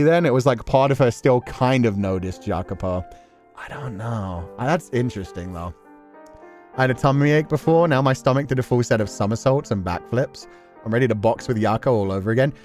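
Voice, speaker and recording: A man's voice: medium pitch, lively intonation, a little fast, darkish tone. A man streams casual, rambling commentary in an informal, conversational way. His delivery is emphatic and peppered with slang as he addresses his viewers. Music plays behind his voice. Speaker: streamer reacting to a story game. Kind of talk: casual rambling commentary, reading and answering chat.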